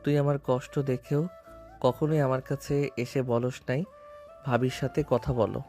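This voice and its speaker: A man's voice: mid-range voice, flat delivery, unhurried, warm tone, soft background music, lightly acted. An actor playing a brooding, deferential young married man in a romantic audio story, speaking in a plain, subdued way. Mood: hurt, reproachful.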